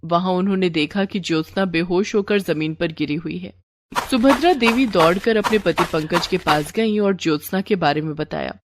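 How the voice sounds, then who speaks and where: A woman's voice: fairly low pitch, normal speed. A woman narrating a scripted moral story as the storyteller.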